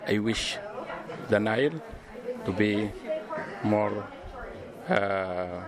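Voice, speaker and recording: A man from Sudan giving a halting, pause-filled birthday wish to a river. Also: on the low side. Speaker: well-wisher. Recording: outdoors with crowd behind.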